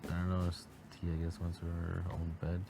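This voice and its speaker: A man's voice: deep voice, completely flat delivery, normal speed, vocal fry, mellow, a little hiss or hum. A man chatting casually, with a playful, teasing way of talking. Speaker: reality show contestant. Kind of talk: casual late-night banter.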